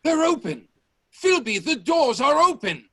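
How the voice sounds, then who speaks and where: A man's voice: extremely high pitch, sing-song, a little slow, bright, forceful tone, no echo, clean studio sound, heavily acted. A man acting a bold, urgent professor and inventor in a radio play, with a commanding, emphatic delivery. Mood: urgent, alarmed.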